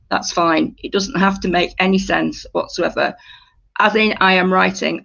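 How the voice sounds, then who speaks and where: A woman's voice: lowish voice, vocal fry, fairly bright tone. A woman leading a creative writing workshop, giving polite, slightly hesitant instructions to her group in a conversational way. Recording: dull lo-fi audio.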